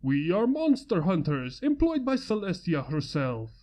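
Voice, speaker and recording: A woman's voice: on the low side, big pitch swings, measured pace, dark tone, pitched below the performer's own voice. A woman voicing a grandiose male pony knight who proclaims his lines in old-fashioned, overblown speech. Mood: pompous. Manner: archaic dramatic proclaiming.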